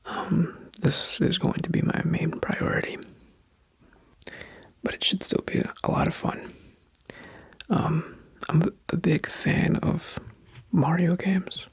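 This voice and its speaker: A young adult new ASMR creator chatting in a soft, unhurried whisper with frequent fillers. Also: mid-range voice, fairly even intonation, vocal fry, breathy edge, no echo, low-quality recording.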